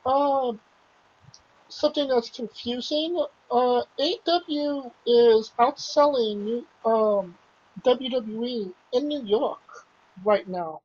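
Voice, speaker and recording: A woman hosting a wrestling podcast with a somewhat high, husky voice. She asks her co-host questions and laughs often. The audio is dull and lo-fi. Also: slow pace, a little mellow. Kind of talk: casual wrestling chat.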